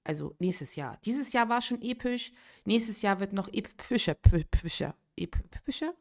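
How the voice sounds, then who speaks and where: A woman in her late thirties talks casually on her live game stream, thinking out loud and answering viewers as she goes. Her voice sits in an ordinary middle pitch, close to a desktop streaming microphone. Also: animated, measured pace, creaky. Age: 30s. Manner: chatty, thinks aloud, reacts to chat.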